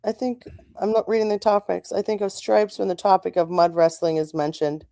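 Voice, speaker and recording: A woman's voice: medium pitch, fairly quick, gravelly, warm tone, dry recording. A woman co-hosting a radio talk show, chatting casually with short, dry replies while in back pain. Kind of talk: casual on-air chat.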